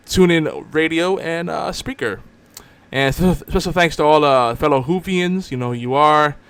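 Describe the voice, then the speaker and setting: A man's voice: on the high side, fairly bright tone, clean tone. A man hosting a podcast in casual chat, talking like an enthusiastic fan. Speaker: podcast host.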